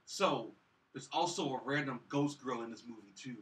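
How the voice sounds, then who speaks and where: A man co-hosting a casual film review podcast, talking in a halting, repetitive way full of "like". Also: mid-range voice, a bit bright. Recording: slightly distant from mic.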